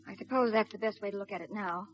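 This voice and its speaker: A woman's voice: mid-range voice, fast talker, close to an everyday voice. An actress playing an earnest, stubborn young woman caught up in a crime case, in 1950s radio drama style. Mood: subdued, reproachful. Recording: muffled vintage radio recording.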